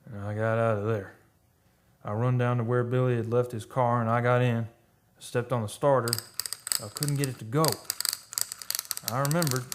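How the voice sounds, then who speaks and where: A man's voice: mid-range voice, natural voice. A man playing a shaken oil-rig worker in a radio drama, telling an eerie tale in folksy, colloquial speech. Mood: haunted. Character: shaken oil-rig worker recounting eerie deaths, defensive under questioning. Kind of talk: radio drama first-person narration.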